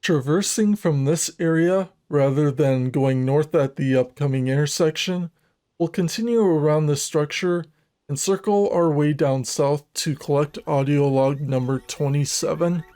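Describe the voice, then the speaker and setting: A man narrating a scripted video game walkthrough in a measured, methodical, instructional manner. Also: fairly high pitch, dark tone.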